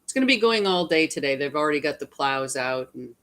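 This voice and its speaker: Woman with a pretty low voice, casual chat, helping out as cohost. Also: lively intonation, fast talker, a bit bright. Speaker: co-host assistant.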